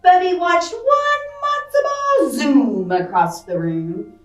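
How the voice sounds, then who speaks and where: A woman reading a picture book aloud to children, expressive and playful, with chatty asides and questions to her young listeners. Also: very high-pitched, slow pace, echoey room, distant mic, soft background music. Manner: expressive, playful, acting out sound effects. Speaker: library storytime reader.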